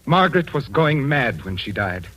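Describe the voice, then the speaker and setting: A man's voice: medium pitch, very animated, moderate pace, fairly bright tone, a little hiss or hum, low-quality recording. An actor playing a stern, tormented widowed father in an old-time radio drama, with melodramatic delivery. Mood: grave, confiding. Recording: vintage radio drama, music behind.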